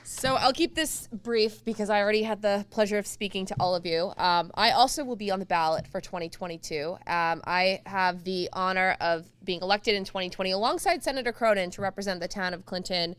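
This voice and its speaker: A woman's voice: medium pitch, brisk, few pauses, a bit bright, clean tone. A woman state representative, giving an earnest campaign speech with light self-deprecating humor. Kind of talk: stump speech at a candidates night.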